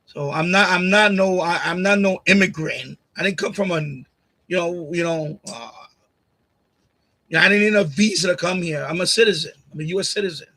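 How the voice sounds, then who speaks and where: Man, live stream host, from Puerto Rico, bright edgy tone, repeats himself, talks into a USB condenser mic. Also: high voice, brisk. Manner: blunt, argumentative, repeats himself, laughs often. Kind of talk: casual live stream chat answering commenters. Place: home streaming setup. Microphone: USB condenser mic on boom arm.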